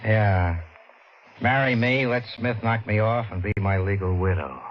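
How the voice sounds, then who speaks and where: An actor playing a sardonic, hard-boiled down-and-out drifter, in 1940s radio acting. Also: male voice, lowish voice, measured pace, lightly acted. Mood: tense. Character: wisecracking down-and-out male drifter. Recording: muffled old radio recording with loud hiss.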